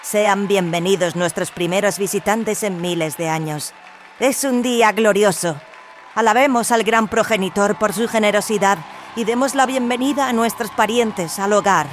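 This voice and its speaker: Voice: mid-range voice, moderate pace, a faint crowd, slight background noise, voice pushed up, close to an everyday voice. A performer voicing a solemn, ceremonious clone leader who declaims grandly in an audio drama. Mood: ceremonious, welcoming.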